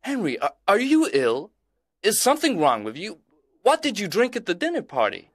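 Man doing a high, frantic, desperate young friend. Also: wildly swooping pitch, normal speed, dry recording, clean studio sound, heavily acted. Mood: pleading. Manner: pleading, breathless and overjoyed.

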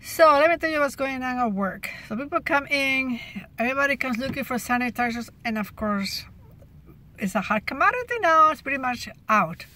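A woman's voice: high-pitched, expressive, brisk, sharp tone, a little hiss or hum. A woman in her fifties chatting casually from a parked car about her job as a cashier, often restarting her sentences, filmed on a phone held at arm's length. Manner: chatty, restarts often, laughs at gross moments. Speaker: cashier sharing her workday. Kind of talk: casual car vlog chat. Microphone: phone camera at arm's length.

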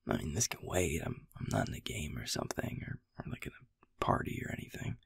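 A man playing a sweet, easygoing young werewolf boyfriend in an intimate audio roleplay, speaking softly and haltingly in a gravelly, breathy voice. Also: rumbling low, big pitch swings, no room sound, whispered, clean studio sound, heavily acted. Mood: playful, affectionate. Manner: soft, breathy, halting and affectionate.

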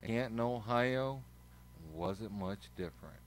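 Slow, low-pitched folksy man. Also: a little hiss or hum, rough recording. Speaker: chat room host. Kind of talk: radio-style sign-off talk. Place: indoors, online voice chat.

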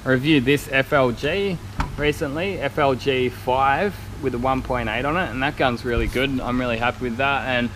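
A man's voice: medium pitch, normal speed, a bit bright, low-quality recording. A man in his thirties giving a casual, rambling, blokey walkaround vlog as a car painter, recorded on a handheld camera in a large workshop. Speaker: car spray painter and YouTube vlogger. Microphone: action camera at arm's length. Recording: clear workshop noise, handheld action camera.

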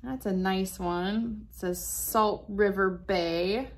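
A woman's voice: medium pitch, fairly even intonation, takes it slowly. A woman in her thirties reading a science book aloud and demonstrating for children, encouraging and speaking directly to young viewers. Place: small wood-panelled room indoors, by a window. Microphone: device camera at about arm's length.

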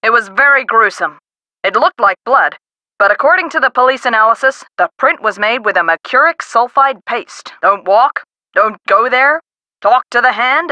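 An actress voicing a businesslike, wry middle-aged woman director in a video game, brisk and matter-of-fact. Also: on the high side, sharp tone, no room sound.

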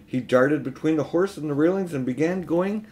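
A middle-aged man reading a children's storybook aloud in expressive narration. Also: fairly high pitch, moderate pace, a little hiss or hum. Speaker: audiobook reader. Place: small room at home, indoors.

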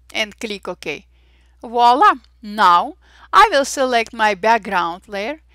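A woman with an Armenian accent hosting a software tutorial, cheerful and chatty with frequent fillers and little exclamations. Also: a little high, dry recording, clean studio sound. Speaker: Photoshop tutorial host. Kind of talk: screen-recorded software tutorial.

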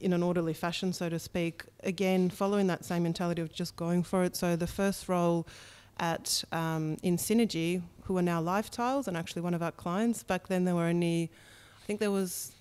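A woman, talking openly about her working life in a relaxed interview. Her voice is smooth and clear and sits a little low, and she speaks into a studio mic in a bright room. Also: brisk, few pauses. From Russia. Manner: candid and chatty, with frequent ums. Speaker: startup co-founder, podcast guest. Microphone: studio mic on a boom arm.

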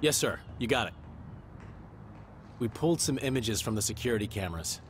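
An actor playing an obedient security guard in a screen drama, reporting in a clipped, formal, deferential way. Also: male voice, medium pitch, animated, fairly quick, slight background noise, natural voice. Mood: businesslike. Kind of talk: live-action screen drama acting.

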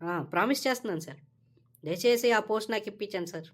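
A woman's voice: medium pitch, fast, close to an everyday voice. A woman voicing a brash, entitled and scheming young male office worker in a comedy cartoon, talking casually and cockily. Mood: flattering, wheedling. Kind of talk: Telugu comedy cartoon dialogue.

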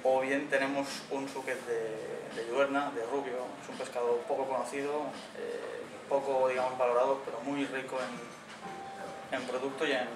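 Head chef in his thirties, level flat delivery, echoey dining room picked up from across the table with steady background noise. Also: medium pitch, moderate pace, recorded from a distance. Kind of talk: interview answers. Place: restaurant dining room, indoors. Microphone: far camera mic.

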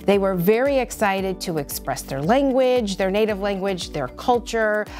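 A woman's voice: mid-range voice, lively intonation, normal speed, darkish tone, soft background music. A middle-aged woman teacher speaking enthusiastically in an interview, with small fillers, in a classroom. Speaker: language teacher. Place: classroom with bookshelves.

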